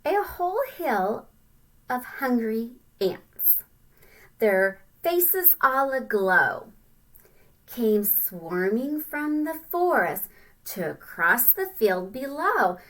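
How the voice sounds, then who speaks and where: Woman, elementary teacher, slightly high bright voice, expressive storytime read-aloud, simple asides to children. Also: drawn-out words. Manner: simple explanations addressed to young children, expressive and animated.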